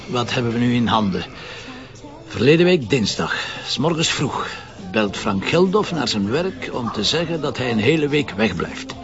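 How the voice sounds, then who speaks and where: Radio play: jovial Flemish commissioner, creaky voice, colloquial and teasing, lo-fi sound. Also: male voice, medium pitch. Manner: colloquial, bantering, emphatic. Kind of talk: naturalistic radio drama dialogue. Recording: dull lo-fi sound with music behind.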